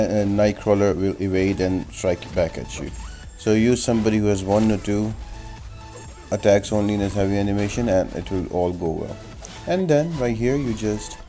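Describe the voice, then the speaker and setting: A man with a fairly low, soft-edged, mellow voice talks through a video game guide. He explains each move step by step in a casual, instructional way, with music playing behind him. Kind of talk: casual gameplay tutorial commentary. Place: voice-over on gameplay video.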